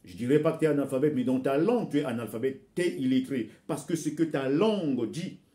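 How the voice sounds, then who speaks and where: Man from Congo, Bembe speaker; warm, dark voice at mid pitch; impassioned vlogger exhorting his audience. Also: quick pace. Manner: exhorting, addresses listeners as brothers and sisters; repeats words while searching for phrasing. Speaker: vlogger addressing his viewers. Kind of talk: impassioned direct-to-camera commentary.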